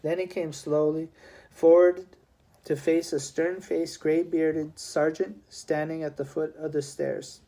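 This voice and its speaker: A man's voice: a little high, level tone, unhurried, dark tone. A man reading a historical novel aloud as scripted storybook narration. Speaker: audiobook reader.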